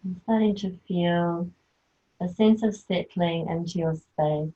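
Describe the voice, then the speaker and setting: Slow, soft-spoken woman guiding relaxation. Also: lowish voice. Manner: slow, soft, soothing instruction. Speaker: yoga nidra teacher. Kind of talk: guided relaxation. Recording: tinny phone-like sound.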